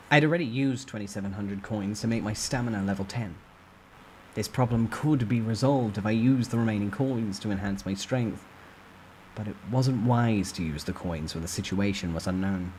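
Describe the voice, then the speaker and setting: A man's voice: lowish voice, moderate pace, a little mellow, slight background noise, low-quality recording. A man reading a novel aloud as a dramatic first-person narrator.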